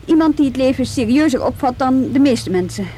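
An actress in a Dutch radio drama playing a polite, persistent juvenile police officer, tentative and earnest. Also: female voice, sits high, animated, a little fast, warm tone, a little hiss or hum.

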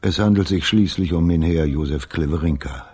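Very deep, husky, terse boss. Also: male voice, moderate pace, darkish tone. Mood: terse, businesslike. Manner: terse, matter-of-fact. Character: terse, businesslike male boss briefing his investigator. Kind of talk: German radio crime drama acting.

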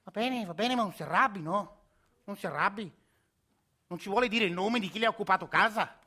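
A patronizing, skeptical officer, as a man plays him in a radio drama, soothing a complainant. He speaks in a somewhat high, bright and forceful voice. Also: brisk, husky, exaggerated voice. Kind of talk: radio theatre acting.

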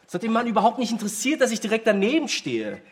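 A man's voice: sits high, huge pitch swings, fast. An actor playing a blunt, resentful teenage boy in a radio drama, talking in youth slang. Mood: scornful, agitated.

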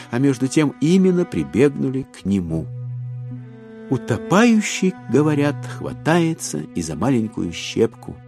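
A man narrating a classic satirical tale in a measured, ironic literary manner. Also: medium pitch, mellow. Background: music.

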